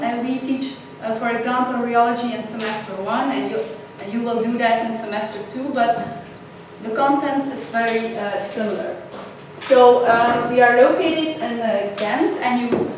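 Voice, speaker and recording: High-voiced woman lecturing. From Belgium. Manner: friendly, frequent "uh" pauses. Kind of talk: guest lecture. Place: large echoey lecture hall. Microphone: distant room mic. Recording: echoey hall from far off, noisy.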